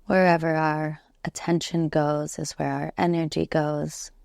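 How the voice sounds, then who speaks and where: A woman guiding a relaxation meditation as a yoga nidra instructor, soothing and unhurried, leaving long pauses between gentle instructions. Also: low voice, level tone, vocal fry, no echo, clean studio sound.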